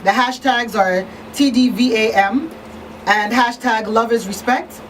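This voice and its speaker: A woman's voice: a little high, unhurried, fairly bright tone. A woman presenting a live talk show, partly from a script, often repeating words for emphasis. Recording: slightly distant, faint background noise.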